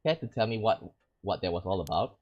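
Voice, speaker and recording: A man's voice: fairly low pitch, animated, a little fast, a little mellow, highs cut off. A man voicing a curious, skeptical friend of a cursed heroine, reading the game's lines plainly and conversationally.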